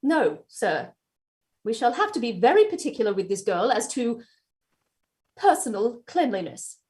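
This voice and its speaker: Woman playing a prim, high-voiced, insistent housekeeper. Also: very animated, moderate pace, a little mellow, a little hiss or hum. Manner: deferential but insistent, proper. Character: prim, firm, respectful housekeeper scolding her master. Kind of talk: staged play reading, stage acting.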